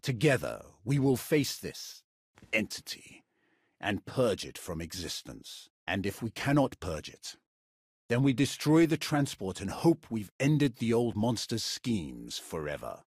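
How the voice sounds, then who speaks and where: An actor playing a grave, formal warrior in a video game, speaking with solemn deliberation. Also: male voice, on the low side, big pitch swings, measured pace, breathy edge, no room sound, studio quality, exaggerated voice. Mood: resolute. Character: grave, dutiful male Sith warrior ally, menacing and formal. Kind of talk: video game cutscene acting.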